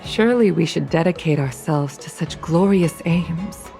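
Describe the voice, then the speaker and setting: A woman voicing a solemn, regretful Foxian veteran pilot in a video game, speaking in a measured, reflective way. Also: deep voice, gravelly, dark tone. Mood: solemn, wistful. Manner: slow, measured, reflective delivery. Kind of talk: English game dub, dramatic monologue. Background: music.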